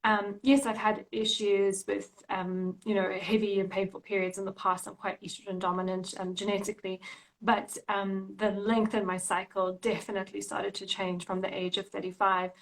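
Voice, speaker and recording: A woman's voice: medium pitch, flat delivery, moderate pace, rough recording. A woman in her thirties hosting a casual talk as a nutritional therapist, speaking conversationally with many fillers. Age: 30s. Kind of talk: casual podcast chat.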